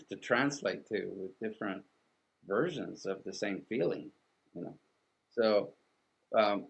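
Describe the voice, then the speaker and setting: A middle-aged man from Sweden, whose first language is Swedish, speaking as an artist and author in an interview, thoughtful and halting with many restarts. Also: fairly low pitch, vocal fry, husky, dull audio. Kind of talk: reflective interview conversation.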